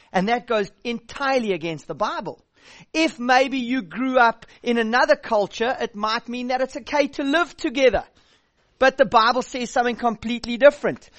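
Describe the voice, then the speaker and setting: A man's voice: extremely high pitch, a bit bright, no echo. A South African youth pastor in his thirties preaching a sermon, direct and blunt, with a dry joking edge.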